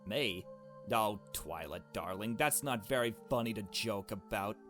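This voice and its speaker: A man voicing a polite, smoothly genial male murder suspect from a visual novel, courteous and feigning innocence. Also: lowish voice, expressive, unhurried, fairly bright tone, faint music behind. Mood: feigned bewilderment.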